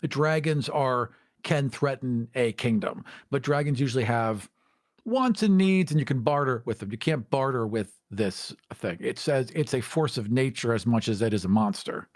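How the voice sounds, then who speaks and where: A man speaks at a middling pitch in a loose, conversational podcast style. He questions things and jokes dryly. The sound is close and dry, with no room around it. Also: lively intonation, normal speed, no room sound. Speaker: podcast co-host. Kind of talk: casual discussion.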